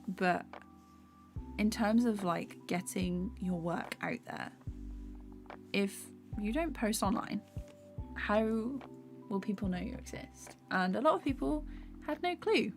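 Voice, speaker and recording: Young female streamer, slightly hoarse, soft rambling chat over faint music. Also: medium pitch, lively intonation, slow pace. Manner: rambling, filler-heavy, soft-spoken. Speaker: animator streaming while drawing. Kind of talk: casual live-stream chat while working. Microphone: desktop USB microphone. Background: faint background music.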